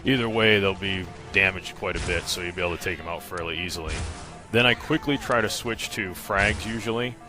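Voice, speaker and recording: A man narrating a video game walkthrough, explaining casually and matter-of-factly, step by step. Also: low-pitched. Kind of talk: casual instructional game commentary. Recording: game music behind the voice. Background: game music.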